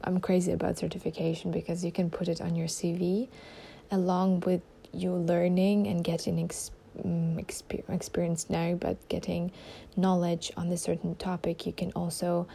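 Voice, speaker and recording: Low gravelly woman chatting casually. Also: dark tone, a little hiss or hum. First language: not German. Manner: rambling with many ums and restarts. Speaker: podcast host. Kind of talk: casual solo podcast chat.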